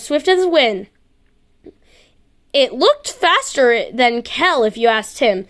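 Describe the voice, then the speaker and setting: Story narrator: woman, high voice, amateur reading of scripted fiction.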